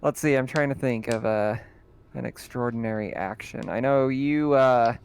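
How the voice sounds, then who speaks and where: A man running a tabletop game as game master, relaxed and often laughing as he explains rules. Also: medium pitch, normal speed, vocal fry, a little hiss or hum.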